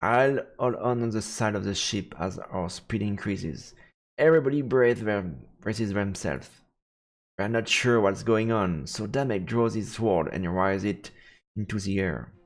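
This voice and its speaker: A man's voice: fairly low pitch, measured pace. A man narrating a fantasy visual novel aloud as a streamer, in a dramatic storybook style. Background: faint game music.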